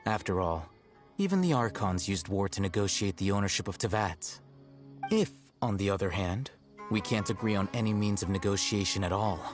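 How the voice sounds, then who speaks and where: Male actor as a detached scholar who talks down calmly in a low voice. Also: close to an everyday voice. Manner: calm, precise, coolly condescending. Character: cool, aloof young male scholar. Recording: game audio with faint background music.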